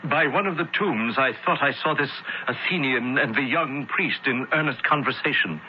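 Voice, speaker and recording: An actor playing a scheming high priest who speaks in a measured, solemn, slightly hesitant radio drama style. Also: male voice, lightly acted. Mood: solemn testimony. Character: scheming Egyptian-temple high priest giving smooth testimony. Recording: dull radio recording, faint hiss.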